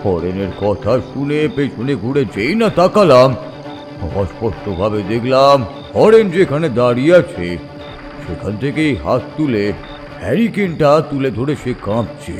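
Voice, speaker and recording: An actor playing an older village man who recounts an eerie tale from his youth in a suspenseful, deliberate manner with dramatic pauses. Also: mid-range voice, big pitch swings, a little mellow, faint music behind, lightly acted. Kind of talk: dramatic horror audio story narration.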